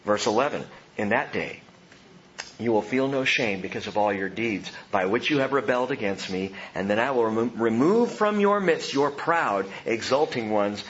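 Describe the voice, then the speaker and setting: A man preaching a sermon and telling stories with a lot of animation, his voice dropping to a hush and then rising with excitement. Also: medium pitch. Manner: animated storytelling, swings from hushed to excited. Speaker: pastor. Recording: dull lo-fi sound with faint noise.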